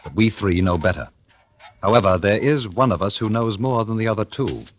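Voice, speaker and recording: An actor in a 1940s radio thriller playing a coolly calculating, murderous husband, measured and sardonic in manner. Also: male voice, on the low side, expressive. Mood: coolly menacing. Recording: noisy, clicking old radio recording.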